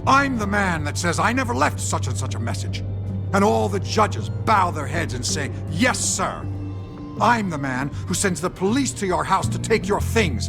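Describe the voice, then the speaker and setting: An actor playing a pompous, menacing, condescending older mayor in a dramatic video game. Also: male voice, high voice. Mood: angry, boastful.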